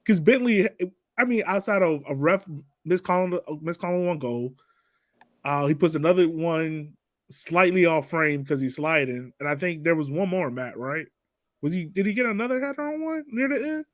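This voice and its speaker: Higher-voiced man, dry close sound. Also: lively intonation, normal speed, no echo. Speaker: soccer podcast panelist. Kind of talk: casual post-game sports discussion.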